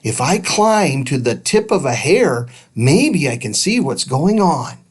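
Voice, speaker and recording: A man's voice: mid-range voice, very animated, takes it slowly, breathy edge, heavily acted. A curious young boy in a children's adventure story read by a man. He wonders and questions aloud, with plenty of rise and fall in the voice. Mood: puzzled, curious.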